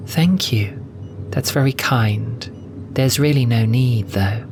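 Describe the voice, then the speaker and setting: A man voicing a gentle young female cat nun in an audio drama, soft and wistful, with earnest storytelling. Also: medium pitch, slow, background noise, natural voice. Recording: music bed under voice. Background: music.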